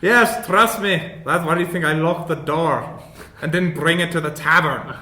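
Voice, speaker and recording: 남자가 연기하는 진지한 드워프 발명가, 울리는 방. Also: male voice, normal speed, pushed and bright. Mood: proud. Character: anxious, earnest, proud male dwarf inventor. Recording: roomy echo, rumbling background noise.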